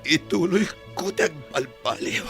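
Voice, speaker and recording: Radio drama contrite, weepy son: creaky voice, slow delivery, faint music behind. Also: male voice, fairly high pitch, lively intonation, fairly bright tone, heavily acted. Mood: tearful, remorseful. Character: remorseful, tearful grown son, humbled prisoner.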